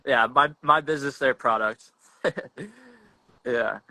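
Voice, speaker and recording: A man's voice: mid-range voice, takes it slowly, sharp tone, low-quality recording. A young man hosting a podcast in a casual, slangy, rambling chat over a video call, often restarting his sentences. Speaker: podcast host.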